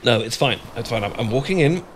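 A man's voice: mid-range voice, lively intonation, fast, slight background noise, close to an everyday voice. A man with a British accent acting an awkward, easily flustered, bashful friend in a romantic ASMR audio roleplay, stammering and rambling as he goes. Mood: panicky, protesting.

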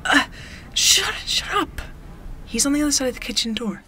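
Young female barista, audio drama acted: teasing, gushing, very lively bright voice, noise behind. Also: sits high, moderate pace, exaggerated voice. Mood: flustered. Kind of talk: casual banter in audio drama.

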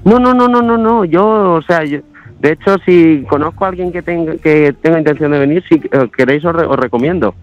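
A man's voice: a little high, a little fast, no echo. A young man with an accent from Spain on a phone line, speaking nervously and hesitantly, trying to placate. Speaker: prank call victim.

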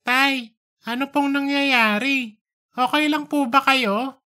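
A woman's voice: high voice, steady tone, takes it slowly, bright, forceful tone, heavily acted. A woman voicing a polite, innocent young schoolboy in an audiobook. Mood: innocent, concerned. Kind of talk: audiobook character reading.

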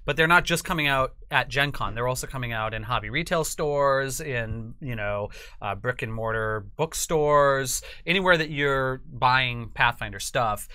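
A man's voice: medium pitch, measured pace, few pauses, pushed and bright, clean tone, no room sound, clean studio sound. A middle-aged man chatting casually as an interview guest, explaining things conversationally. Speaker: guest, company publisher and creative chief. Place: small curtained studio set, indoors.

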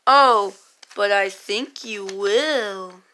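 A woman's voice: medium pitch, extremely slow, bright, forceful tone. A woman voicing a bossy, taunting, scheming old pirate king in a homemade puppet play. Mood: smug.

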